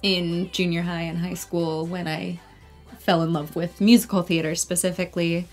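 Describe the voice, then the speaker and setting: A young American woman talks casually about her work as an interview guest. Her voice sits a little low, and faint music plays underneath. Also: flat delivery, moderate pace. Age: young adult. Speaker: actor and singer being interviewed.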